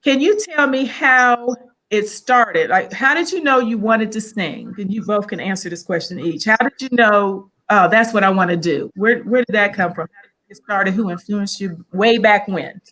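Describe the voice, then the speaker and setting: A woman's voice: medium pitch, normal speed, a bit bright, rough recording. A woman hosting a live online interview, upbeat, greeting viewers and guiding the conversation. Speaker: host.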